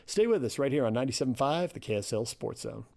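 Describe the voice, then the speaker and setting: A man's voice: mid-range voice, animated, fast talker, vocal fry, a little mellow, no room sound. An American man hosting a sports radio show, talking casually and thinking aloud in short phrases.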